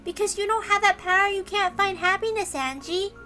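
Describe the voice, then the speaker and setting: A little girl, with a very high, piercingly bright voice over clear background music. She is childlike, eerily serene yet quick to excitement, as in a visual novel. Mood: solemn, serene. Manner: childlike, repeats herself in excitement.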